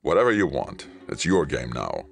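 A man's voice: rumbling low, sing-song, normal speed, gravelly, soft background music, exaggerated voice. A man voicing a hostile, curt government official in command, snapping in audiobook dialogue. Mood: tight, curt.

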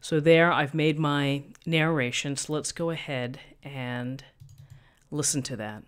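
Middle-aged woman, thin voice, calm step-by-step explaining, desk mic, tutorial host. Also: low voice, unhurried, clean tone. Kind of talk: screen-recorded software tutorial. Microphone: USB desk microphone.

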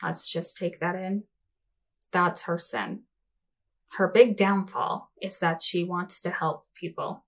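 A woman's voice: lowish voice, fairly even intonation, slow, fairly bright tone. A woman in her forties giving casual, sarcastic reaction commentary, blunt and sweary, with a mocking edge. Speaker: host of a reaction commentary show. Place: small home office or craft room.

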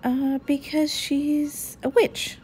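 A woman's voice: high-pitched, level tone, takes it slowly, darkish tone, faint music behind, pitched above the performer's own voice. A woman voicing a young boy bear cub in a children's storybook, wary and earnest, drawing out words. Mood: frightened, insistent.

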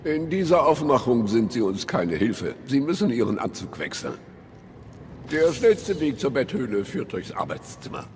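Man as an elderly butler, formal, dry understatement, expressive pitch, noisy ambience, audio drama. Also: normal speed. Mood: composed. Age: older. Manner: polite, dryly understated. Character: old male butler, dry, formal, loyal.

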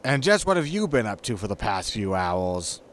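A man's voice: lowish voice, very animated, moderate pace, a bit bright, slight background noise. A man playing an exasperated, sarcastic spaceship captain in a sci-fi sitcom audio drama, with a weary, dry, scolding manner.

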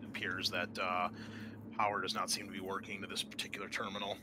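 A man speaking haltingly as game master, narrating scenes and explaining rules in a tabletop roleplaying game. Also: low-pitched, level tone. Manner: hesitant, halting, thinking aloud. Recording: clear background noise, amateur podcast.

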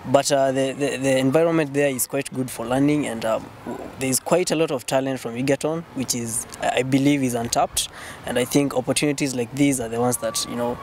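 A man's voice: mid-range voice, steady tone, a little fast, darkish tone, a little hiss or hum. Young Kenyan man, hesitant and earnest. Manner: hesitant, many restarts, earnest. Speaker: web and mobile developer. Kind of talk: interview answers. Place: outdoors on a sunny campus. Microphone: interviewer's handheld recorder.

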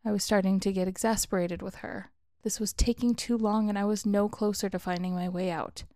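A woman's voice: medium pitch, steady tone, a little fast, warm tone, no room sound, clean studio sound. A woman storyteller reading a scripted first-person story as narration. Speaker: storyteller, author.

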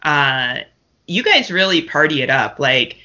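A woman's voice: low-pitched, big pitch swings, unhurried, bright, forceful tone. A woman from Canada talking casually and chattily as a guest on a film podcast. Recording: dull, lo-fi podcast audio.

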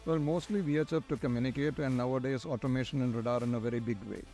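A man's voice: mid-range voice, steady tone, fast talker, warm tone. A middle-aged man with an Indian accent, giving prepared interview answers as an air traffic controller. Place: large glass-walled airport tower room. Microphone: lapel. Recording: music under the voice. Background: background music.

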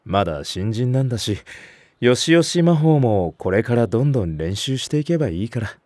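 Patient senior nursery teacher, dry sound. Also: male voice, medium pitch, sing-song, a little fast, dry recording. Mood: chiding, encouraging. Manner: gentle, instructive, patient. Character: mild, sensible senior male nursery teacher. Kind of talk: anime-style audio drama acting.

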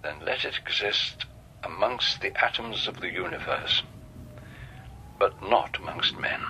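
Stern cardinal, sharp bright voice. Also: male voice, on the low side, unhurried, slight background noise, low-quality recording. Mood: cold, condemning. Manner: grave, emphatic, pronouncing. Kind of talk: radio drama acting.

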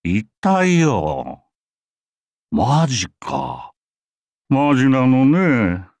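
Older villainous mastermind for an anime game: unhurried, animated, mocking, urbane. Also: male voice, mid-range voice, no echo, heavily acted. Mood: mock disbelief. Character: suave, sardonic older male villainous mastermind. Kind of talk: anime game character acting.

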